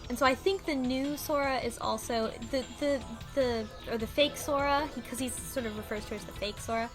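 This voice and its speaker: A woman's voice: sits high, fairly quick, music in the background. A woman co-hosting a casual discussion, recapping plot in a thoughtful way with many fillers. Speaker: podcast co-host. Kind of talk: casual discussion of a visual novel.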